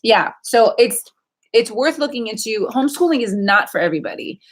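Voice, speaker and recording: A woman's voice: fairly high pitch, expressive, brisk. Chatty woman in casual livestream chat. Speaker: livestream host. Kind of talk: casual chat, answering viewer questions.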